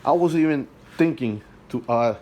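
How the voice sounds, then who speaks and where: A man's voice: medium pitch, a little mellow. Guy with an accent talking on his phone at home, keeps restarting, earnest, bit of hum. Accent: non-native English accent. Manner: halting and repetitive, restarts phrases, earnest and pleading. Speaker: hobbyist vlogger. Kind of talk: casual home video walkthrough. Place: home, beside an aquarium indoors. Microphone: phone held by the speaker while filming.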